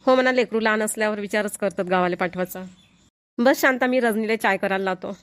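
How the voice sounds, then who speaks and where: A medium-pitched, fast-speaking elderly village mother-in-law, acted by a woman in a homemade cartoon comedy. She is kindly and grateful, with a Varhadi Marathi accent. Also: clean tone, lightly acted. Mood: neighbourly, concerned. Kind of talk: amateur cartoon comedy voice acting.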